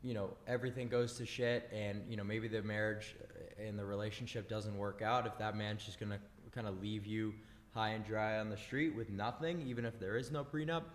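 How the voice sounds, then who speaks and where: Low-voiced man with reverb. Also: steady tone, a little hiss or hum. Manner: halting, many uh and you know. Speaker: host giving advice. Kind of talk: casual opinion talk.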